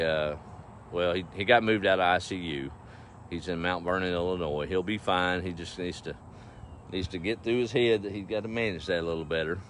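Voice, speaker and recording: A man's voice: deep voice, fairly bright tone. A middle-aged man with an East Texas accent chatting casually on a farm livestream, folksy and easygoing, talking to his animals and viewers. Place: outdoors on a farm. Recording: faint outdoor background noise.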